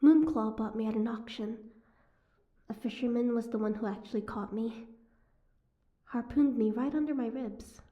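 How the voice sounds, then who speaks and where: A woman's voice: a little high, moderate pace, pauses often, dull audio, lightly acted. A woman playing a sardonic, guarded captive mermaid in an audio roleplay, with a wry, teasing, prickly manner. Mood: resigned, bitter.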